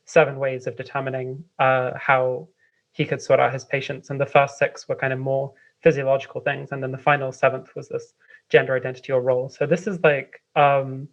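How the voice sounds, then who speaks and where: Slightly lo-fi audio, woman, London accent, low voice, discursive interview guest, hesitant casual delivery. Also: flat delivery, a little fast. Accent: London English. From London, England. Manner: hesitant, discursive, frequent "like" and "kind of". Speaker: interview guest, writer and editor. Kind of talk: casual explanatory interview talk.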